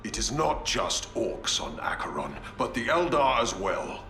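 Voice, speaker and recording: An actor playing an honorable, stern space marine commander who speaks formally and gravely. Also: male voice, fairly low pitch, big pitch swings, unhurried, bright, forceful tone, slight background noise, exaggerated voice. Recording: echoey game audio with music.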